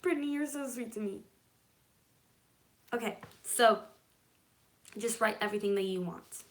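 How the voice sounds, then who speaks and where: A woman's voice: fairly high pitch, normal speed. A woman from California whose first language is Hebrew, hosting a casual live stream and answering viewer comments in a chatty, reassuring way that often checks in with "okay?". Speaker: livestream host.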